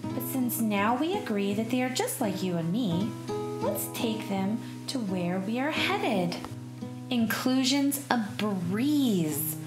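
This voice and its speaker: A woman narrating a rhyming children's picture book in an expressive, measured storytelling way. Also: fairly high pitch. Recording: roomy echo, music behind. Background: plucked guitar music.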